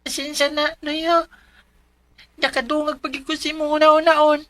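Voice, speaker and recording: A high, bright, pitiful little boy. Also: flat delivery, normal speed, slight background noise. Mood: sad, pleading. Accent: Cebuano Filipino. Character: sickly, suffering little brother, young child. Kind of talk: Filipino radio drama acting.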